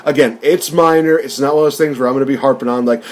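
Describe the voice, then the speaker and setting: A man's voice: medium pitch, fairly even intonation, fast, darkish tone. Man casually recapping a movie. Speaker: podcast host and film reviewer. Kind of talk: casual movie review discussion.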